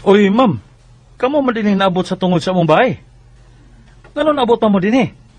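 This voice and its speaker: A Filipino actor with a Visayan accent playing an indignant, protective grown son from a poor family in a radio drama. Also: male voice, high-pitched, expressive, fairly quick, darkish tone, husky, no echo. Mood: indignant, disbelieving. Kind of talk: Bisaya radio drama acting and narration. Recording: radio drama with faint music behind. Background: faint music.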